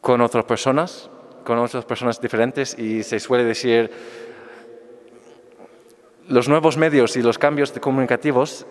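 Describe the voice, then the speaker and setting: Man lecturing, mid pitch, halting with fillers, long hall reverb. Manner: hesitant, many fillers and restarts. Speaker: lecturer answering audience questions. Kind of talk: academic talk. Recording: echoey hall.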